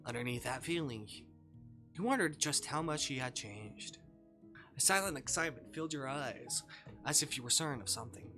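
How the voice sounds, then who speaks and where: A game streamer reads a story aloud in a mid-pitched voice. The delivery is informal, slipping between narration and personal asides. Music plays clearly behind the speech. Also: expressive, normal speed, pushed and bright. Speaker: streamer reading a visual novel aloud. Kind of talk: live read-aloud narration with casual asides.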